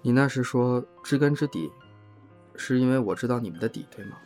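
A man's voice: mid-range voice, expressive, fairly quick, a little mellow, close to an everyday voice. Restrained, matter-of-fact young professional man, male actor, polite yet aloof, audio drama with music underneath. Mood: subdued, hurt. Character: reserved young male insurance adjuster, polite but stubbornly aloof.

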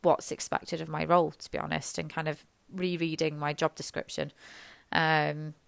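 Hoarse nurse with a gravelly voice, smooth underneath, rambling, self-deprecating monologue. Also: female voice, deep voice, little pitch movement, moderate pace, no echo. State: hoarse from illness. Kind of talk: reflective personal monologue.

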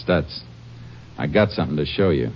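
An actor playing a terse, authoritative Texas Ranger in charge in a 1950s radio crime drama. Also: male voice, very deep voice, lively intonation, normal speed, a little hiss or hum. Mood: measured, firm. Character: steady, commanding Texas Ranger lawman in charge. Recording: muffled vintage radio recording with music.

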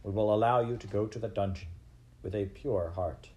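A man's voice: lowish voice, steady tone, moderate pace, warm tone, muffled recording, lightly acted. A man voicing a stern, pompous head mouse who declaims imperiously in a storybook reading. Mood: grave, demanding.

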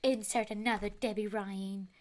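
A young woman reading a fanfiction aloud with friends, deadpan and swearing often. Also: mid-range voice, moderate pace. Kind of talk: casual comedic reading.

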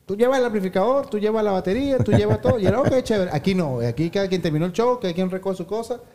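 A man hosting a casual chat, chatty and quick to react with slang. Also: high-pitched, lively intonation, dark tone. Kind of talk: casual conversation.

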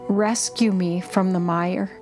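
A woman guiding contemplative prayer, reading in short, measured and deliberate phrases. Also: on the low side, fairly even intonation, a little mellow. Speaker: prayer guide and podcast host. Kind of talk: slow, repetitive guided contemplative prayer reading. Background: music under the voice.

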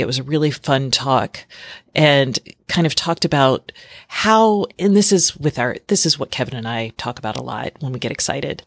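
Low-voiced woman chatting casually on a podcast. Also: no echo. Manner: chatty, informal, peppered with "like". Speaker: podcast host. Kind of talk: casual tech podcast chat.

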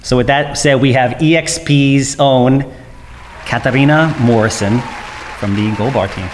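A man's voice: mid-range voice, measured pace, big-room sound, some chatter behind, a little hiss or hum. A man hosting a podcast, chatting casually and upbeat, heaping playful compliments on his guest. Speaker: podcast host. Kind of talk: casual interview chat.

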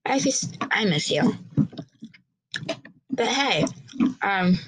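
A woman voicing a timid, eager teenage girl student who talks haltingly with many restarts. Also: mid-range voice, lively intonation, fairly bright tone, breathy edge, exaggerated voice, rough recording. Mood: eager, wistful.

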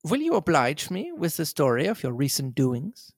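A man voicing a cold, clever male villain who speaks in a calm, measured, threatening way. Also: fairly high pitch, vocal fry, slightly rough, no echo, studio quality, big-room sound, exaggerated voice. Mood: probing.

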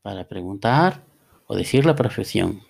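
A man teaching a Spanish lesson on a podcast, reading example phrases slowly and deliberately. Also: lowish voice, animated, gravelly, breathy edge. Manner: slow, deliberate, reads model dialogues aloud. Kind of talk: scripted language lesson podcast.

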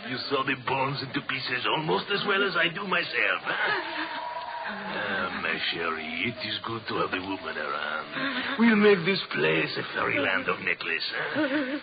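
An actor playing a deranged, gloating killer with a French accent in an old-time radio horror drama, theatrical and cackling. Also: male voice, medium pitch, very animated, normal speed, creaky, over loud music. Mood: urgent, gloating. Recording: noisy, muffled old radio recording.

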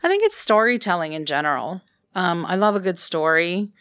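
A Russian-native woman with a smooth, clear voice and a slightly low pitch, speaking as a guest. She answers thoughtfully, pausing with many ums, and sounds close and dry. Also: lively intonation, fairly bright tone, no room sound. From Ukraine. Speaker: guest, fashion brand founder. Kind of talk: casual interview chat.

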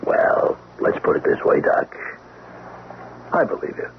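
An actor playing an affable but probing police lieutenant in a radio drama, talking casually and hesitantly in slangy phrases. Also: male voice, measured pace, close to an everyday voice. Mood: softening, awkward. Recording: tinny band-limited radio, clear noise.

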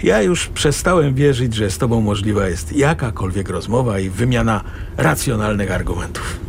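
A man's voice: lowish voice, lively intonation, normal speed, vocal fry, slight background noise. An actor playing a sarcastic, exasperated middle-aged father arguing with his wife in a radio drama. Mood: dry, reproachful. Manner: sarcastic, argumentative.

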